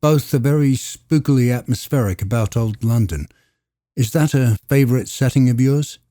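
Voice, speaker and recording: A man hosting a podcast, announcing and greeting a guest in a slow, deliberate way. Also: mid-range voice, mellow, no room sound, studio quality. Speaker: podcast host.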